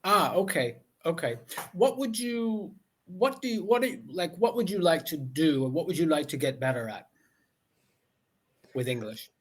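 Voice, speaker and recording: A man's voice: fairly high pitch, lively intonation, normal speed, breathy edge. A man from the United States, talking as an online English tutor on a video call, patient and often repeating "okay" as he rephrases his questions. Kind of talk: casual conversation lesson over video call.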